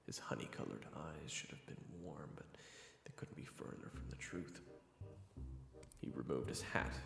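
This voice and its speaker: A man's voice: very deep voice, vocal fry, reverberant, big-room sound. A man reading scripted second-person fantasy narration as the storyteller of an audio roleplay.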